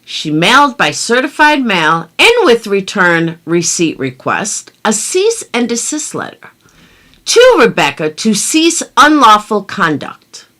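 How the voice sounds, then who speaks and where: Theatrical, sarcastic woman with swooping pitch. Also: very animated, fairly bright tone. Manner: theatrical, sarcastic, drawn-out emphasis. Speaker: commentary video host. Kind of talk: reading a document aloud with mocking commentary.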